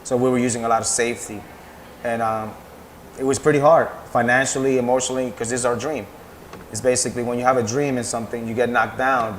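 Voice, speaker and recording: A man's voice: mid-range voice, slight background noise. A man from the Dominican Republic, a restaurant owner talking loosely with many fillers in an interview. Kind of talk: casual interview.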